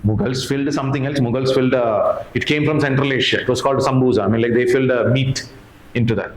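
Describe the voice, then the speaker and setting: A man's voice: medium pitch, quick pace. A man with an Indian accent giving an explanatory exam lecture as a history teacher, didactically repeating key points and putting questions to his listeners. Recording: echoey room with faint background noise.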